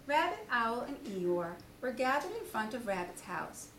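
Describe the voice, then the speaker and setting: A woman reads a children's story aloud in an animated, teacherly way. Her pitch is high and swoops widely. The recording is roomy, with faint noise behind her. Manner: pauses to question young listeners. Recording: roomy, distant mic, faint background noise.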